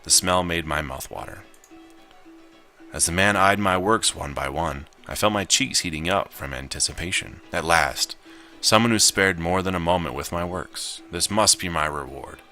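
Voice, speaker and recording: A man with an extremely low voice narrates a visual novel as he plays. His tone is bright and forceful, and faint music sits behind him. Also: moderate pace. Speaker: gamer narrating his gameplay. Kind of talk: visual novel read aloud with casual commentary.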